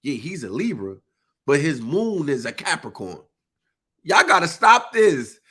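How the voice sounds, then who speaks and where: A man's voice: fairly high pitch, big pitch swings, a little slow, sharp tone, husky. Stuffy, preachy man. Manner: preachy, emphatic, uses informal speech. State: congested, stuffy nose. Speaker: live-stream speaker. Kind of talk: impassioned live monologue.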